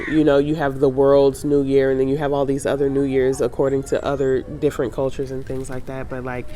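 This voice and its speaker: A woman's voice: low voice, warm tone. A woman in her thirties with a congested, nasal voice, getting over a sinus cold, chatting to camera in a reflective vlog with many fillers and restarts. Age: 30s. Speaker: travel vlogger. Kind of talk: casual chat to camera.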